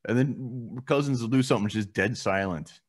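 A man's voice: medium pitch, moderate pace, husky. A man co-hosting a casual postgame sports show from a home room over a video call, blunt and casually swearing as he runs through stats. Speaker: co-host of a sports fan show.

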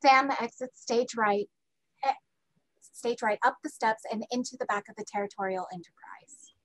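A woman narrates a script as the reader of stage directions. Her voice sits fairly high, and she speaks from home on a group video call. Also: moderate pace, poor audio.

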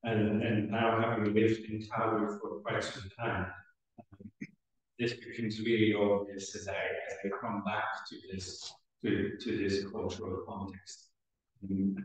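Echoey, distant middle-aged man speaking haltingly. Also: lowish voice, a little mellow. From Switzerland. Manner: halting, hesitant, pausing often. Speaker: academic conference chair. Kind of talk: conference welcome speech. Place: indoor lecture room at a lectern. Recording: very echoey room, far from the microphone.

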